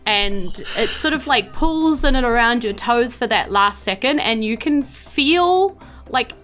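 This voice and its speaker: A woman with a New Zealand accent running a tabletop game, improvising her descriptions in a halting, conversational way. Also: a little high, lively intonation, a bit bright, faint music behind. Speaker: game master of a tabletop game podcast.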